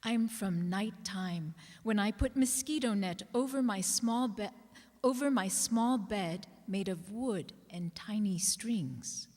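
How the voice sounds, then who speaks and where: A woman giving a dramatic reading of personal poetry, in a measured way with deliberate pauses. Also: medium pitch, a little mellow. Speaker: actor reading others' writing aloud. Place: reverberant hall, live public reading. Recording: long hall reverb.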